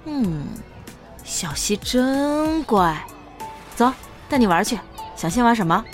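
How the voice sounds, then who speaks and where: Slow-spoken gentle young woman, played by an actress. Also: a little high, sing-song, soft background music. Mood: coaxing. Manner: soft coaxing, hesitant. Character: gentle, flustered young woman, doting on a child. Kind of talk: Chinese audio drama acting.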